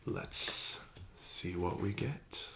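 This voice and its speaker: A man hosting an ASMR roleplay as a casino dealer, soft-spoken and often whispering, smooth and gently coaxing. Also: drawn-out words, a little hiss or hum.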